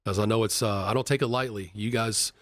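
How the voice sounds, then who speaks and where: An earnest executive from Kentucky talks in a casual podcast interview, his voice sitting a little low. He often trips over his words and restarts his sentences. The sound is dry and close, with no room echo. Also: steady tone, fairly quick. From Kentucky, USA. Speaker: company executive vice president. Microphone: studio podcast mic.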